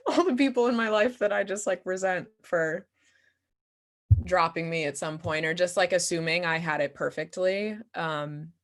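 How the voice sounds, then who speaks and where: A young woman answering questions as a guest performer in a casual Q&A, candid and self-deprecating, often laughing through her words. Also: lowish voice. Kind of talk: casual audience Q&A.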